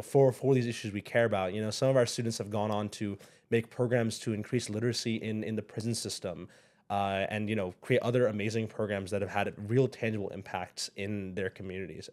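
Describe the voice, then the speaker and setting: A young man as a guest on an interview show, speaking thoughtfully, pausing often and restarting his sentences. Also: on the low side, a little mellow. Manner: thoughtful, hesitant, many fillers and restarts. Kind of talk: casual interview.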